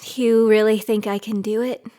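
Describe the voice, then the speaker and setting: A woman's voice: mid-range voice, flat delivery, normal speed, mellow, no echo, natural voice. A woman playing a determined young female trainee who tells her own story in an audio drama, in scripted first person. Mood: unsure, vulnerable. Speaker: narrator and lead character of an audio drama. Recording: studio voice over prominent music.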